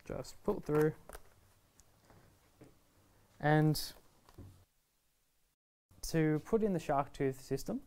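A man's voice: on the high side, very slow, long pauses, darkish tone. A man in his twenties presenting a casual step-by-step product tutorial in a reassuring, easygoing way. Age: 20s. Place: indoor shop video studio, standing at a table.

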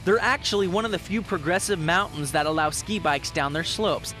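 Young male TV reporter, high voice, chatty and enthusiastic. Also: fairly quick, sharp tone, faint music behind. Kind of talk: upbeat travel report narration.

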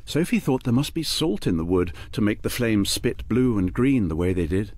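An actor narrating a fantasy radio drama as an expressive storyteller. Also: male voice, fairly low pitch, normal speed, dry recording. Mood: vivid, descriptive.

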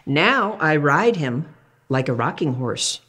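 Lively older woman reading to kids. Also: sits low, slow. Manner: animated, exclamatory storyteller who asks the child questions. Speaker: children's book reader. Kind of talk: reading a picture book aloud to children.

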